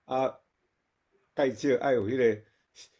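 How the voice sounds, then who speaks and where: A man with a Taiwanese accent giving casual comments at an online forum, speaking in a halting, conversational way and repeating himself. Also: medium pitch, steady tone, a little mellow, slightly rough. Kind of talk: casual spoken reflection.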